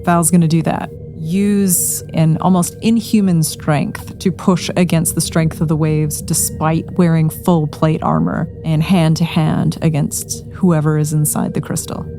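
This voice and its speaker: A woman's voice: low-pitched, level tone, unhurried, few pauses. A woman playing a tabletop roleplaying game, chatting casually and describing what her character does. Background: background music.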